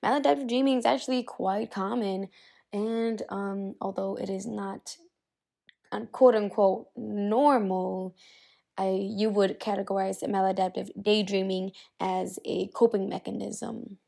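Young woman, solo podcast host; hoarse, gravelly, husky after illness; chatty, restarts often. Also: mid-range voice, steady tone, a little slow, mellow. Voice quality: husky, raspy. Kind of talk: casual solo podcast, reading posts aloud.

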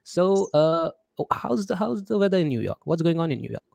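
A man's voice: fairly high pitch, big pitch swings, a little fast, creaky, a little mellow, no room sound. A man with an Indian accent hosting a casual interview, chatty, often repeating his words and acting out the messages he quotes. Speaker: podcast host.

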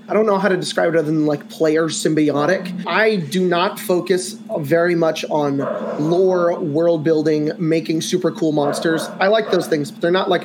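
Californian panelist, casual, meandering delivery, noisy line. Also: male voice, a little high. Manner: rambling, filler-heavy, uneven pace.

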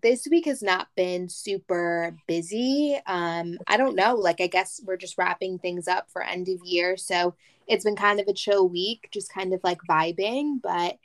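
A woman in her twenties co-hosting a podcast, talking in a relaxed, casual way with plenty of "like.". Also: lowish voice, a little slow. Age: 20s. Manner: relaxed, uses "like" often. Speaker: podcast co-host. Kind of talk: casual chat.

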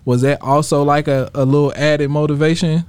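A man's voice: on the high side, little pitch movement, a little fast, darkish tone, clean tone, no echo. A man with an American accent asking questions as a radio interview host in casual, slangy talk.

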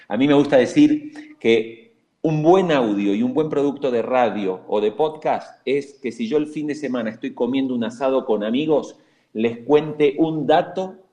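A man's voice: medium pitch, a little mellow. A man with an Argentine accent, giving emphatic, coaching advice on radio and podcasts in a panel talk.

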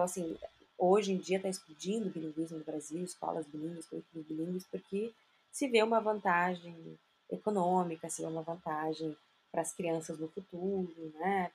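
A woman's voice: lowish voice, a little mellow, slight background noise, poor audio. Woman explaining earnestly. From southern Brazil. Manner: earnest, explanatory, many "né?" tags. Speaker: guest, linguist and bilingual education specialist. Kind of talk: casual interview chat.

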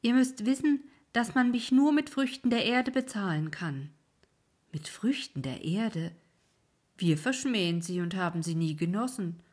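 A woman voicing an old male ferryman in a sleep story, loud and raised above her soft reading. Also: medium pitch, lively intonation, unhurried. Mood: stern. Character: old male ferryman, patient but alarmed.

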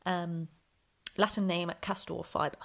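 A woman's voice: on the low side, little pitch movement, a little slow, creaky, a bit bright. A young woman hosting a casual solo podcast, chatty and rambling with lots of ums, sounding slightly nasal and snuffly. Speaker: podcast host.

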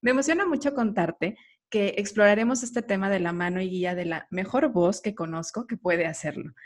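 A woman hosting an interview, speaking in a measured, appreciative way. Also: mid-range voice. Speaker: podcast host.

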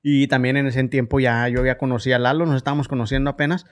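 A man's voice: medium pitch, fairly even intonation. A man with a Mexican accent co-hosting a podcast, telling stories in a joking, casual chat. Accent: Mexican Spanish. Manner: quick, joking storyteller. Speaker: podcast co-host. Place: home in Oklahoma.

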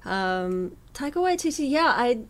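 A woman's voice: on the high side, sing-song, unhurried. A woman in her thirties from New Zealand with a slight New Zealand accent, chatting as a musician guest in an interview, laughing often and telling stories with animation. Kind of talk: casual interview chat.

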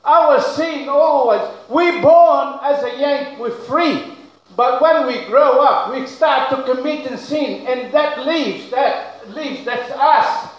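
A high-voiced male pastor preaches a loud, emphatic sermon in English that is not his first language, with broken grammar. He talks slowly and stretches key words for weight. His voice rings around a very echoey church. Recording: very echoey room.

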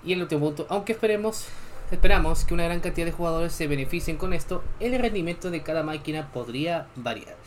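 A man's voice: a little high, lively intonation, quick pace, slight background noise. A young man from Chile, a streamer reading game patch notes aloud and chatting casually with viewers between points. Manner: casual, chatty asides between read-out items.

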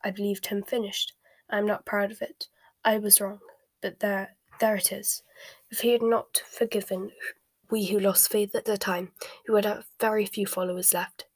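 Woman doing a sardonic wizard guy, slow sneery talk, breathy hush, dry. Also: mid-range voice, flat delivery, no room sound, natural voice. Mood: cool, sardonic. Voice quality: breathy, hushed. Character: cold sardonic middle-aged male wizard.

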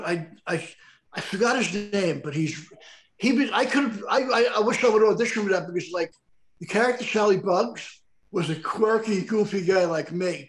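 A man's voice: high voice, poor audio. A man hosting a podcast in casual chat over a video call, rambling with lots of stumbling restarts. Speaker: podcast host.

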